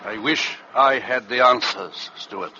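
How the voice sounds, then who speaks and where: An actor playing a stern, grave expedition captain in old-time radio drama, with a commanding, formal, solemn manner. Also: male voice, mid-range voice, slow pace, over music, natural voice. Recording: muffled, noisy old radio drama recording.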